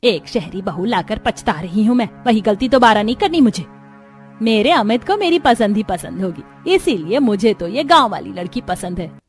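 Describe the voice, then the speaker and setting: A woman voicing a bossy, sharp-tongued middle-aged mother-in-law in a cartoon story. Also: mid-range voice, expressive, normal speed, soft background music, close to an everyday voice. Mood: irritated, sarcastic.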